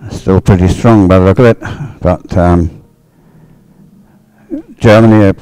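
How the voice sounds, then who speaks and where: A man with a fairly low voice commentates live on a race. He muses aloud in hesitant asides as he follows the field, and the sound is dry and close. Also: fairly even intonation, measured pace, dark tone, clean tone, dry recording, soft background music, poor audio.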